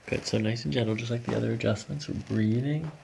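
A middle-aged man with a heavily creaky, gravelly voice gives calm, reassuring step-by-step instructions as a chiropractor at work. His manner is gentle. Faint noise sits behind him in the treatment room. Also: mid-range voice, fast, low-quality recording. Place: small office treatment room, indoors. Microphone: microphone on boom arm near the table.

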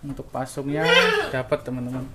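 A man's voice: mid-range voice, little pitch movement, a little fast. Upbeat man vlogging at a goat farm. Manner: enthusiastic, asks short questions, addresses viewers directly. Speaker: vlog host touring a goat farm. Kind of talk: casual on-site interview. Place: outdoor wooden goat pen on a farm. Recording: noisy outdoor farm with goat bleats.

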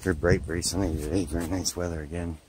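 A man's voice: low voice, steady tone, fast. A man narrating his hike up a wooded gorge trail in a chatty way, filmed on a handheld camera. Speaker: hiking vlogger. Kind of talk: casual hiking narration. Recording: outdoor stream noise behind.

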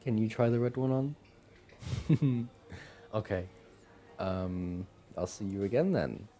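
A man acting as a smitten young boyfriend in an audio roleplay, speaking in a lowish, unhurried voice. He is affectionate and halting, doting on his partner, with faint noise behind him. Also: dark tone. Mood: eager, affectionate. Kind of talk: boyfriend ASMR roleplay, acted one-sided conversation.

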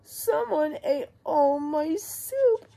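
A woman voicing a small, sad boy bear from a storybook who whines in a high, drawn-out voice. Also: expressive, vocal fry, dark tone, heavily acted. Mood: upset.

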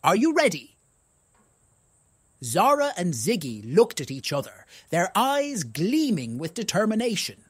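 A storyteller narrating a children's tale in a playful, animated way with exaggerated emphasis. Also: medium pitch, measured pace, few pauses, husky, dry recording, studio quality.

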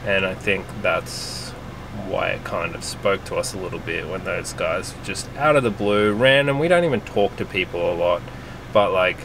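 A man's voice: deep voice, normal speed, a bit bright, slight background noise, rough recording. A man in his twenties chatting casually into a handheld vlog camera. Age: 20s. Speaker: travel vlogger. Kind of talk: casual chat. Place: outdoors on a hiking trail and inside a car. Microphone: handheld camera at arm's length.

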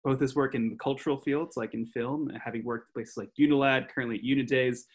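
Young guy hosting his podcast on a headset, friendly and a bit halting, mid-pitched voice. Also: fairly even intonation. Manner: friendly, earnest, slightly halting questions. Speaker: podcast host. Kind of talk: interview hosting.

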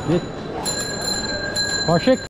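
A man chatting casually as a travel vlogger, inquisitive and often repeating back what he is told. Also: very slow. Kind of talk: casual walking-tour chat. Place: outdoors in a busy market street. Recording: busy street crowd and traffic noise.

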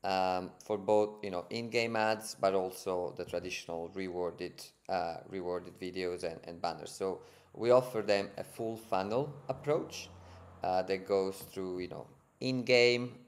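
A man's voice: deep voice, unhurried. A man in his thirties with an Italian accent, a guest explaining his business on a video-call podcast, fluent but with many fillers and repeated words. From Italy. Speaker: guest, ad tech co-founder. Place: home room, indoors. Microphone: headset on a video call.

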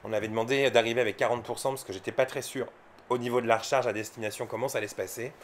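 A man's voice: mid-range voice, fairly even intonation, very fast, a little hiss or hum. A man from France giving casual, unscripted vlog commentary, explaining things informally and with some hesitation. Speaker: electric car owner and vlogger.

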